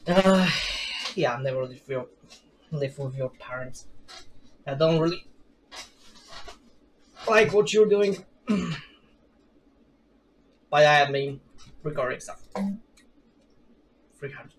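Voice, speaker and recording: Casual male streamer, 30s, unhurried delivery, self-mocking, home desk mic. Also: a little high, slight background noise, poor audio. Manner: rambling, chatty, self-deprecating, laughs often. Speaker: gaming streamer. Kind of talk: casual let's play commentary. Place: home room with gaming chair.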